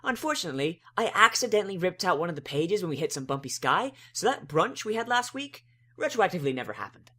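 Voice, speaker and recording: A man voicing a bubbly, scatterbrained young female pony who chatters excitably. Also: sits high, fast, voice pushed up, exaggerated voice.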